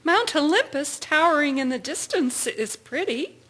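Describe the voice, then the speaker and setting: An actress playing a cheerful, appreciative army nurse in a wartime radio drama. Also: female voice, sits high, sing-song, moderate pace, a bit bright.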